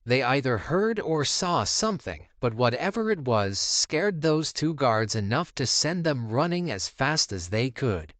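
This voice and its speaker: A man's voice: mid-range voice, big pitch swings, a little slow, few pauses, fairly bright tone, no room sound. A man reading scripted narration for a video compilation.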